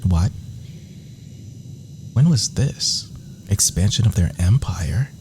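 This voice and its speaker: A man's voice: on the low side, expressive, takes it slowly, creaky, darkish tone, slight background noise. A man performing whispered ASMR roleplay as an adoring, menacing villain lover, theatrical and florid, intimate in delivery. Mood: mocking, scornful.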